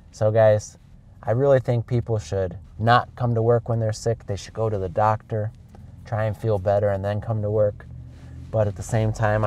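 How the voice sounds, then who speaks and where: A middle-aged man talks in a low, stuffed-up voice while he has a cold. He explains things to learners in a loose, chatty way and keeps calling his viewers guys. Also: darkish tone. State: congested with a cold. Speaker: English teacher vlogger. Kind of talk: casual explanatory vlog to learners.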